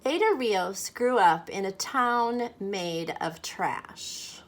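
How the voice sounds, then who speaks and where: A woman from a church talking to children and reading a storybook aloud in a deliberate, expressive way. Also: medium pitch, slight background noise. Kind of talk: children's read-aloud and friendly talk to kids.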